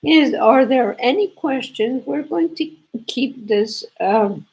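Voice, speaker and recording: A woman with a sore throat and congestion, hosting a casual live knitting lesson and explaining stitches slowly, with frequent restarts. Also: high voice, animated, darkish tone, poor audio. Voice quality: gravelly, husky. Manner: slow, repetitive explaining with restarts.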